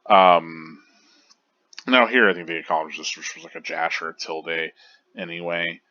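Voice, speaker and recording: Deep-voiced male video essayist, rambling and hesitant, dry slightly muffled recording. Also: fairly even intonation, normal speed, pushed and bright. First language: not Japanese. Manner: rambling, hesitant, many fillers and restarts. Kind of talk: casual commentary on manga pages.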